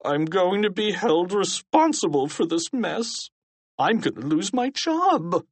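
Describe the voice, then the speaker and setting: A flustered middle-aged school principal from a children's storybook, whining in a high, husky voice. The delivery swoops up and down and drags out the words. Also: male voice, huge pitch swings, slow pace, dry recording, full character voice. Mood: whining despair. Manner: whiny.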